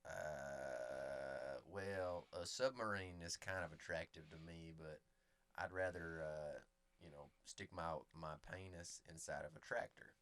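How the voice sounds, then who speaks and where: A man co-hosting a comedy podcast, rambling through absurd improvised bits in a deadpan way. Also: low voice, a little slow, dry recording. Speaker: podcast co-host.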